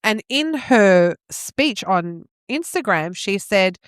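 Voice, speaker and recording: An Australian woman in her forties chats casually as a podcast co-host. Her pitch moves a lot as she acts out her reactions, and the recording is dry with hardly any room. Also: lively intonation, slow pace, smooth, no room sound. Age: 40s.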